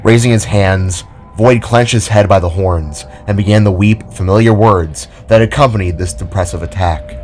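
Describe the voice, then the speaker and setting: A man's voice: lowish voice, moderate pace, hardly any pauses, darkish tone, soft background music. A man narrating a scripted audio drama as a dramatic storyteller.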